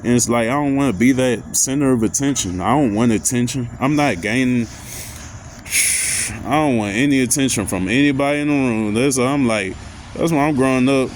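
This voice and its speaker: A man with a deep voice talking loosely about anxiety and growing up, with lots of slang and filler phrases. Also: normal speed, a little hiss or hum. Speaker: vlogger. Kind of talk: casual motivational talk.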